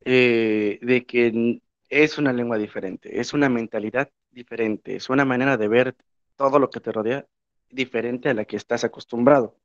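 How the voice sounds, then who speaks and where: A man's voice: medium pitch, fairly even intonation, moderate pace, no room sound. Mexican Spanish man, historian and teacher, reflective, muffled video call audio. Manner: reflective, many filler words. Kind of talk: panel discussion talk. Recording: dry, muffled lo-fi sound.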